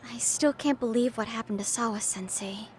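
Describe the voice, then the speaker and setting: A woman's voice: fairly high pitch, normal speed, slight background noise, lightly acted. An actress playing an earnest, polite teenage schoolgirl sleuth in a game drama, eager and youthful in manner. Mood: concerned, eager. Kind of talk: dramatic game cutscene dub acting.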